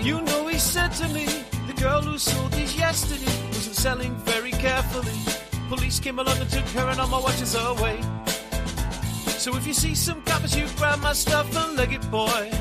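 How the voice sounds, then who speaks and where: A woman's voice: high voice, normal speed, loud music behind the voice. A woman singing a comic song, half rapping the verses in a cheeky storytelling way. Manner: cheeky half-spoken storytelling sung over a beat.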